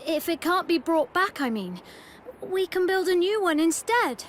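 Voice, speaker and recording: A woman's voice: very high-pitched, animated, normal speed, a little hiss or hum. A woman voicing a small, kind, hopeful boy king in a video game, with an earnest way of speaking.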